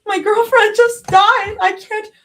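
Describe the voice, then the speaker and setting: Panicking young woman, high cracking sobs, desperate pleading, storybook reading. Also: steady tone, slow pace, fairly bright tone, a little hiss or hum, heavily acted. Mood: sobbing panic.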